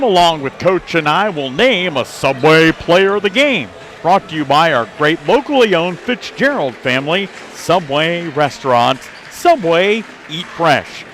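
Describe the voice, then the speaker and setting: A man's voice: fairly high pitch, fairly bright tone. An American man hosting a pre-game sports radio broadcast, moving between questions and sponsor reads. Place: basketball gym. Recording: faint gym crowd and noise behind.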